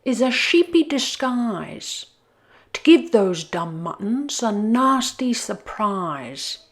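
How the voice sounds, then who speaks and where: A scheming, hungry wolf from a children's storybook, acted out by a woman. He is vain and boastful, gloating slowly over his words in a raised voice. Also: fairly high pitch, very animated, pitched above the performer's own voice, full character voice.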